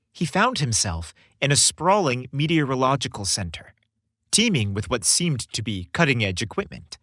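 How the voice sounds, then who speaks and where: A man narrating a scripted story in a polished, expressive storytelling manner. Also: medium pitch, no echo, studio quality.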